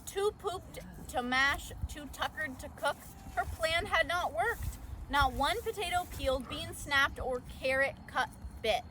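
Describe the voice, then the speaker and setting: High-voiced woman reading a children's story outdoors. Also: a little slow. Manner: expressive, acts out sound words and dialogue. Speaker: volunteer storyteller. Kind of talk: children's read-aloud storytelling. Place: outdoors at an orchard event. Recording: noisy outdoor recording with ambient sounds. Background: outdoor ambience and background noise.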